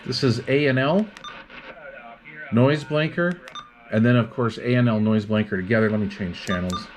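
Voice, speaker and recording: A man's voice: medium pitch, moderate pace. A man reviewing radio equipment in a casual, hands-on demonstration, explaining things step by step without hurry.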